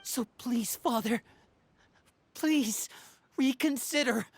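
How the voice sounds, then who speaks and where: Earnest, higher-pitched youth. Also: female voice, slow pace, breathy edge, no room sound, full character voice. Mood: pleading. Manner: pleading, heartfelt. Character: earnest, loyal youth pleading for mercy. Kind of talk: video game voice acting.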